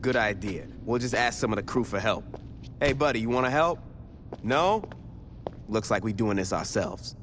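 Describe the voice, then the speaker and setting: An actor playing a tough gang boss in a video game cutscene, speaking tersely with dry wit and street slang. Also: male voice, on the low side, lively intonation, moderate pace, fairly bright tone, a little hiss or hum. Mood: plotting. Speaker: game protagonist.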